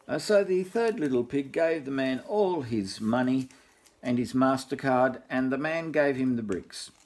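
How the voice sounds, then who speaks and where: An older Australian man, a grandfather, reads a storybook informally, wandering off into jokey, repetitive asides. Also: medium pitch. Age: 60s or older. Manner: jokey, rambling, repeats himself with deadpan asides. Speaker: grandfather reading a bedtime story.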